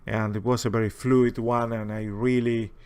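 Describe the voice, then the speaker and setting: Halting man with a heavy Spanish accent. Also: mid-range voice, little pitch movement, a little mellow, clear, resonant tone. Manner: hesitant, halting, rambling delivery. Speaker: video host, war-gaming enthusiast. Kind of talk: casual, unscripted game and book commentary.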